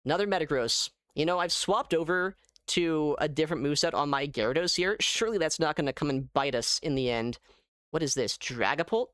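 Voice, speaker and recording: A man giving casual live gameplay commentary as a streamer, thinking aloud in an animated way. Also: on the high side, fairly quick, dry recording, studio quality. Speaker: gaming streamer.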